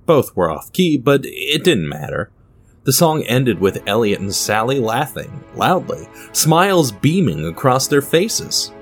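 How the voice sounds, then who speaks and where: A man's voice: medium pitch, very animated, a little slow. A man narrating a scripted dramatic story, reading as a storyteller. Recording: music under the voice.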